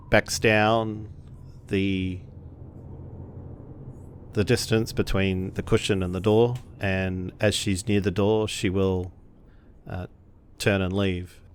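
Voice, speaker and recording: A man with a lowish voice takes part in a tabletop roleplaying game. He casually describes what his characters do, as a player. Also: a little hiss or hum. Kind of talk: narrating characters' actions in casual game play.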